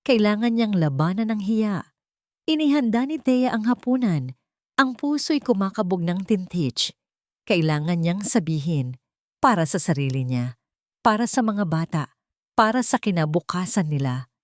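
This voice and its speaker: A woman's voice: lowish voice, a little mellow, dry recording, clean studio sound. A woman narrator reading a scripted, dramatic romantic story.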